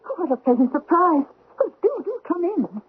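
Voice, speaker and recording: A woman's voice: very high voice, very animated, normal speed, slight background noise. An actress playing a gushing, welcoming widowed lady of the house in a 1970s radio drama, effusive and fluttering. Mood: gushing welcome. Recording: dull, lo-fi 1970s radio recording.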